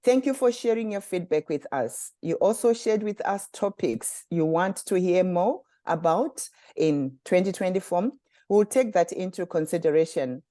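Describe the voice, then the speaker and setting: Formal woman host, low mellow voice. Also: expressive, no echo, clean studio sound. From Zimbabwe. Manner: measured, formal, reading prepared remarks. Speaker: communications director and webinar host. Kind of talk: scripted webinar introduction.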